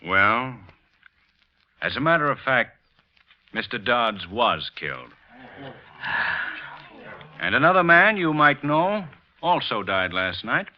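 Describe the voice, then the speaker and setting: Muffled as an old radio broadcast, this is a sharp young male detective. He speaks formally and deliberately with authority, his pitch moving in lively swings, in 1940s drama style. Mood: measured, probing. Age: young adult.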